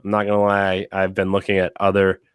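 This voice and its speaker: Deep-voiced man hosting a podcast, slightly raspy. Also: monotone, fairly quick, dry recording. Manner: rambling, many fillers and restarts. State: slightly raspy from being sick. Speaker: podcast host. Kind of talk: casual chat.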